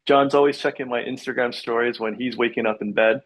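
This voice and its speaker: A man in his forties from Canada chats casually as a podcast guest. He sounds upbeat and easygoing, often answering with quick yeses and a laugh. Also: mid-range voice, fairly even intonation, rough recording. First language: Korean heritage, English first. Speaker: realtor guest.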